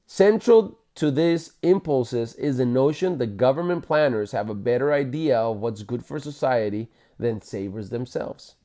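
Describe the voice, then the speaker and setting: A man with a mellow, relaxed voice at a middle pitch reads aloud from a book as a parent would at bedtime. His delivery is explanatory and informal, and he now and then corrects his own wording. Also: moderate pace, few pauses. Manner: explanatory, stumbles and corrects himself while reading. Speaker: parent reading aloud to children. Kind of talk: bedtime read-aloud of a book, with casual asides.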